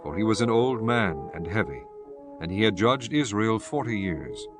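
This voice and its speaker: A man reading scripted scripture narration in a solemn, measured manner. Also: lowish voice, expressive, over music.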